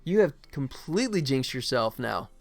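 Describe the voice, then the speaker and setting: A man's voice: mid-range voice, very animated, moderate pace, vocal fry, soft background music. A man live streaming as a game developer, chatting casually with viewers and muttering to himself as he works. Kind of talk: casual live stream chat while working.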